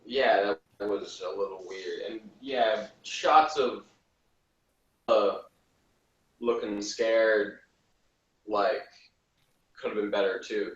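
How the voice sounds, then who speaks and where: A man giving casual, critical opinions on a TV show in a hesitant, halting way. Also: on the low side. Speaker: podcast reviewer. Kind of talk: casual TV review chat. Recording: roomy, distant, slightly muffled.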